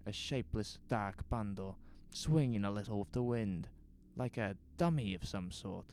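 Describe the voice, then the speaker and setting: A man narrating a scripted horror story in a measured, suspenseful way. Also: fairly low pitch, darkish tone, slight background noise.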